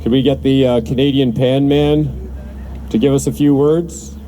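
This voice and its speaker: A man's voice: medium pitch, fairly even intonation, unhurried, dark tone, a little hiss or hum, rough recording. A man hosting an open mic, giving rambling, appreciative, informal announcements to a gathering. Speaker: event host at an open mic.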